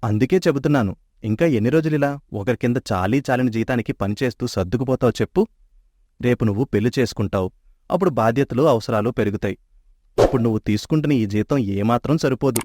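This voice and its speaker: A man voicing a cheeky, quick-tongued young man. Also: mid-range voice, darkish tone, no echo, close to an everyday voice. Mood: persuasive. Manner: playful, quick-tongued and persuasive. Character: cheeky young man, bickering childhood friend. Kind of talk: animated storybook dub. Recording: dry close studio mic.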